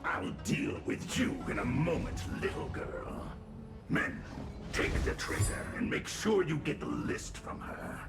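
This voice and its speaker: A man playing a sneering, menacing villain leading henchmen in a game, taunting in a drawn-out way. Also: mid-range voice, sing-song, gravelly, reverberant, over music, exaggerated voice. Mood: commanding.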